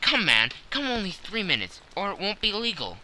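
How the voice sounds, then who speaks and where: A man voicing a frantic, flustered gentleman who shouts urgent orders in an audiobook story. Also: high-pitched, wildly swooping pitch, normal speed, slight background noise, voice pushed up, exaggerated voice. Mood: frantic, pleading. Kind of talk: audiobook character acting.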